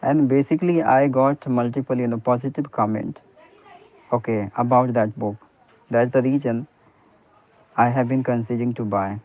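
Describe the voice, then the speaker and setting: A man's voice: medium pitch, fairly even intonation, moderate pace. Man on a tinny line. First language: Hindi. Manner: earnest, repeats "you know" and "okay" often, restarts sentences. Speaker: private teacher. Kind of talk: English speaking practice conversation.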